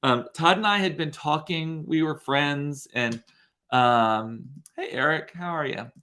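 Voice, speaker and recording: A man's voice: on the high side, measured pace, sharp tone. Congested man in his forties chatting. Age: 40s. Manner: chatty, rambling storyteller. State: congested, sniffly. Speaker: livestream host. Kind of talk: casual solo livestream chat. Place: room at home.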